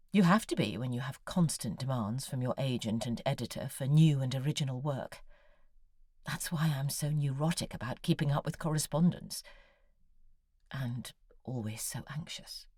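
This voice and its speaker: A woman's voice: a bass voice, mellow, no room sound, studio quality, exaggerated voice. An actress playing an anxious, needy, brooding woman novelist in an audio drama, articulate and confiding as she voices her letters.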